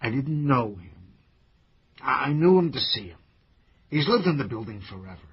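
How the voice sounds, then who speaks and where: An anxious older man in a radio play, defensive and sardonic, tripping over his words in agitation. His voice sits at a middling pitch, and the recording is dull and lo-fi. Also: very animated, moderate pace, husky. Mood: subdued, troubled. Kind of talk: radio drama acting.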